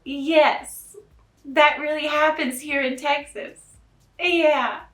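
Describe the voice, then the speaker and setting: High, bright, theatrical American storyteller. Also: fairly even intonation, slow. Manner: theatrical, sarcastic mock sweetness. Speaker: storytelling host in a drag elf persona. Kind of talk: satirical storytime to camera, mock fairy tale. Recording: roomy, echoing sound.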